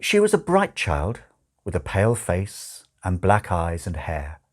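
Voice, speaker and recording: A man narrating a comic novel as an audiobook, with wry, expressive storytelling. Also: low-pitched, measured pace. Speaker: audiobook reader.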